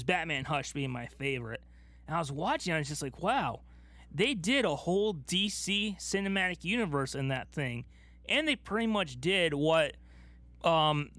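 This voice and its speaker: High-voiced man chatting casually. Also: a bit bright, no echo. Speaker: podcast co-host. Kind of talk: casual chat about movies.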